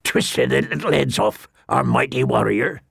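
A man's voice: on the low side, wildly swooping pitch, unhurried, gravelly, a bit bright, slightly rough, no room sound, clean studio sound, voice pushed down, exaggerated voice. A man voicing a grizzled, grim old man-at-arms in an audiobook, with grave, rustic, plain speech. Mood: mocking.

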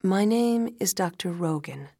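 Female doctor for audio drama, voiced by a woman narrator: calm, measured, grave, creaky and breathy. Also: on the low side, no echo, studio quality, heavily acted. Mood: calm, official. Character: calm female hospital doctor breaking bad news. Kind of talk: dramatic audiobook-style storytelling.

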